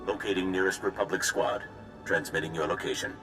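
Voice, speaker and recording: A man playing a calm, efficient military communications dispatch machine in a video game, giving clipped, formal status announcements. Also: low-pitched, brisk, close to an everyday voice. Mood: procedural. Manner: formal, procedural. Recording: echoey, loud music behind.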